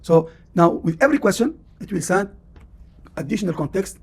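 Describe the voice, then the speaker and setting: A man's voice: sits high, lively intonation, brisk, gravelly, slightly rough. A man with a Tunisian accent, a professor giving a classroom lecture and explaining at length with many "uh"s and "you know"s. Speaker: university professor.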